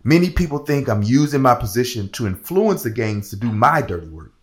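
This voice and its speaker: A man playing an earnest, self-assured senator in an audio drama, smitten and quick to defend himself. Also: mid-range voice, big pitch swings, breathy edge. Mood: uneasy, pleading. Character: smitten, self-assured male senator fighting for common people.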